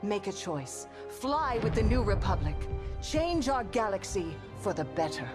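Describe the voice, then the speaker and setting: A woman's voice: mid-range voice, big pitch swings, measured pace, music in the background. An actress playing a resolute woman who leads a new republic, giving a solemn, rousing recruitment speech in a video game. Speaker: narrator. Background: orchestral score.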